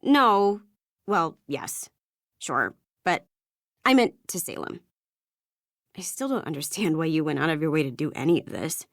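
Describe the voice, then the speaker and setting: A woman's voice: low-pitched, expressive, a little slow, long pauses, a bit bright, no room sound, clean studio sound, full character voice. A guarded, prickly young woman. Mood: awkwardly sincere. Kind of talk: video game voice acting.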